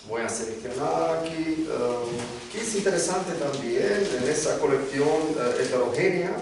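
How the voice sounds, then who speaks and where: Male panelist, slow and hesitant, distant echoey room sound. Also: fairly high pitch, darkish tone, slight background noise. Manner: halting, many filler hesitations. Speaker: panelist, literary scholar. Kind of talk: round-table talk. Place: lecture room.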